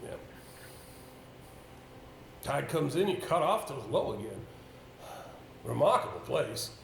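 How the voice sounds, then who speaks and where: A man's voice: on the high side, very animated, slow pace, full character voice. A man on stage playing an avuncular older male solicitor, musing and hesitant, in a roomy, slightly noisy recording. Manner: hesitant, musing, throat-clearing. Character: avuncular older male solicitor, senior boss, rambling, brisk. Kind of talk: amateur stage play acting. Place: indoor stage, picked up with room sound.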